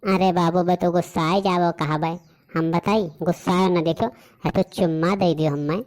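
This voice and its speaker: A woman's voice: fairly low pitch, fairly even intonation, moderate pace, darkish tone, lightly acted. A woman voicing a lovestruck young village lad in a comic cartoon, with an Awadhi accent, coaxing and pleading with pet names. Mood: wheedling. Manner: wheedling, full of pet names. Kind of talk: comic rustic cartoon dialogue.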